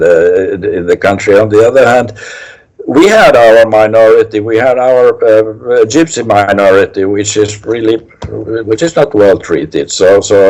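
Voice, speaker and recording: An older man with a Finnish accent, a criminology professor answering interview questions in a halting way, with many restarts and fillers. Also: fairly low pitch, darkish tone, rough recording. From Finland.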